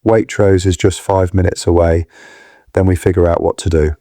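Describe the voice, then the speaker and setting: A low-pitched man , played by an actor, as a kindly, shaken reluctant rescuer in an audio drama. He mutters hesitantly and offers reassurance, in a dry, close recording. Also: fairly even intonation, moderate pace, dark tone, no echo, close to an everyday voice. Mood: resolved, reassuring.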